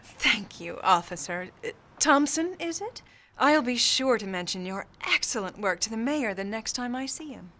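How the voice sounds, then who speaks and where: An actress playing a cunning, sardonic 1940s socialite film star in a noir radio play, with haughty, formal diction. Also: female voice, a little high, expressive, normal speed, pushed and bright. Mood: mock gracious.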